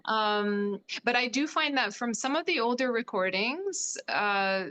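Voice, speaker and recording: A woman's voice: on the high side, lively intonation, measured pace, sharp tone. A woman from Poland, a classical singer, talking casually as an interview guest with frequent "like" fillers. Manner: chatty, many "like" fillers.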